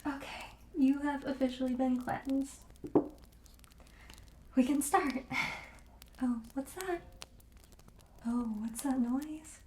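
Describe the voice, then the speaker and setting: A mellow, soft-spoken witch shopkeeper, acted by a woman, gently welcoming and a little hesitant, slipping into whispers. She takes her time over each phrase in an ASMR roleplay style. The room adds some echo, with faint noise behind her. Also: sits high, fairly even intonation, slow pace, pauses often. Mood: soothing, welcoming, then hesitant, apologetic. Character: friendly, soothing witch shopkeeper selling curious wares.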